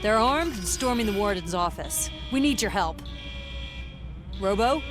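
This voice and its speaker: A woman's voice: medium pitch, expressive, measured pace, lightly acted. A woman as an urgent officer over music. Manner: urgent, businesslike.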